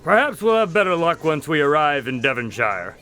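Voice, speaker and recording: A man playing an earnest, polite doctor and detective's partner in a radio drama, measured in manner. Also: a little high, big pitch swings, bright, forceful tone, soft background music.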